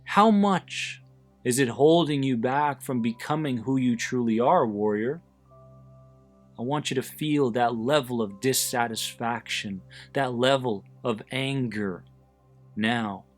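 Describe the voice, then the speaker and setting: Man, guided visualization, very slow pace, soothing and deliberate, medium pitch, background music. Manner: deliberate, soothing, with pauses between short phrases. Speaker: host of an anxiety support podcast, guiding listeners. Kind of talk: guided visualization, meditation narration.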